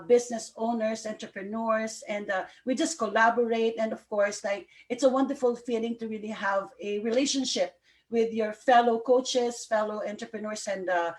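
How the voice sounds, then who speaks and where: A woman hosting a live online talk show, bubbly and laughing often, with many fillers. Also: fairly high pitch. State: sleepy. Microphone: video call.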